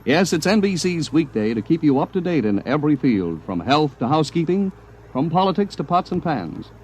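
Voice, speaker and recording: Lively 1950s male radio announcer. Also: fairly high pitch, a little fast, slight background noise.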